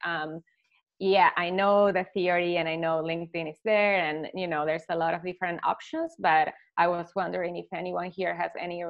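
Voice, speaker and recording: A woman's voice: on the low side, steady tone, moderate pace. A woman in her thirties from Argentina, an agency owner asking a question on a video call, speaking hesitantly with many fillers and restarts. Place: home living room. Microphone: laptop webcam on a video call. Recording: dull lo-fi video call audio.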